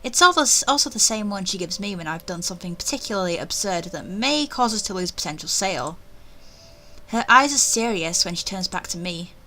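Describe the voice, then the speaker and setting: A woman plays through a visual novel, reading it aloud. Her pitch is middling and her narration expressive, slipping into casual asides. There is slight background noise. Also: fairly quick, poor audio.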